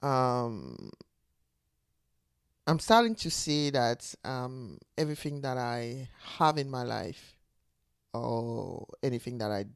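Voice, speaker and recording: Woman, 40s, from Ivory Coast; unhurried, gravelly, thoughtful vlog delivery, dry sound. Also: extremely low pitch, sing-song, no echo. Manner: reflective, searching for words, with soft laughs. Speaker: channel host, teacher. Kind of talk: unscripted personal talk to camera.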